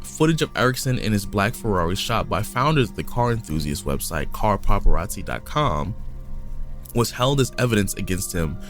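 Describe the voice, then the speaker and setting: A man's voice: on the low side, sing-song, moderate pace, a bit bright, soft background music. A man co-hosting a podcast, reading a crime story from notes in a casual way, sometimes stumbling and restarting. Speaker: podcast co-host. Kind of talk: reading a case aloud in casual chat.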